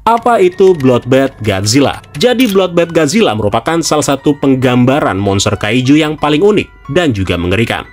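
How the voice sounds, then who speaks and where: Lively male explainer over music. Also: mid-range voice, normal speed, few pauses. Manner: conversational, addresses viewers directly. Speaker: video narrator. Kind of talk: semi-casual scripted explainer narration. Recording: music behind the voice. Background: background music.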